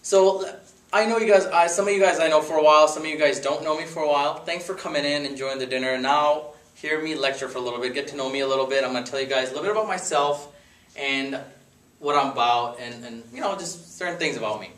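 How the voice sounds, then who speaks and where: A man's voice: on the high side, quick pace, a bit bright. A man in his thirties, from India and a native Gujarati speaker, giving a casual, joking talk about himself to friends after dinner. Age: 30s. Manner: chatty, rambling, joking. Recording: echoey room, mic far off.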